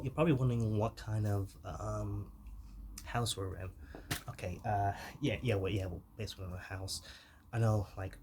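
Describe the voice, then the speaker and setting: A shy, soft-hearted zombie, acted by a man in an intimate ASMR roleplay. The voice is fairly low and gentle, often slipping toward a whisper. He talks haltingly and apologetically, with some hiss behind him. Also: natural voice. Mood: chatty, explaining. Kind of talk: ASMR roleplay, softly spoken to the listener.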